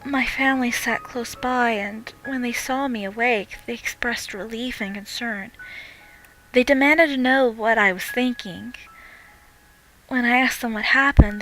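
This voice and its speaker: A woman's voice: on the high side, pushed and bright, slight background noise, low-quality recording. A young adult woman reading a first-person horror story as dramatic scripted narration. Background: music.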